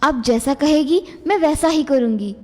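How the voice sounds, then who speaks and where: A woman's voice: high voice, normal speed, big-room sound, lightly acted. A woman voicing an obedient, scheming young daughter who poses as a princess in a fairy tale. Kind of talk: dramatised children's fairy tale acting.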